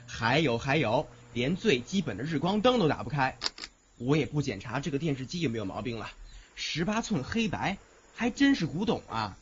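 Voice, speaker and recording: Fussy young student played by a man, lively pitch. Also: moderate pace, background noise, close to an everyday voice. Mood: fussy, insistent. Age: young adult. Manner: nitpicking, insistent. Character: fussy, hard-bargaining young male student renting a flat. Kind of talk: Chinese audio drama acting. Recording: muffled recording with music behind.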